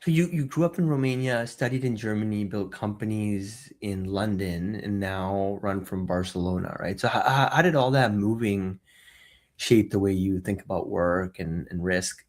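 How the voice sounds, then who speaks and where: A man's voice: lowish voice, moderate pace. A man hosting his own interview show, upbeat and chatty, with frequent fillers. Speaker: podcast host. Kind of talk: casual interview.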